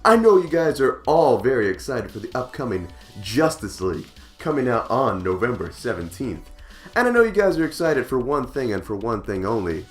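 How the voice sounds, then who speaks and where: An excitable, emphatic man introducing his channel as host in an enthusiastic scripted style. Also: mid-range voice, normal speed, faint music behind.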